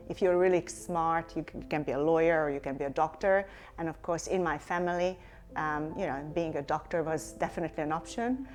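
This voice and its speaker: A middle-aged woman from Hungary speaks with a slightly low voice. She answers interview questions thoughtfully, pausing on fillers and restarting her sentences. Faint music plays underneath. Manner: reflective, many fillers and restarts. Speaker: company CEO, guest.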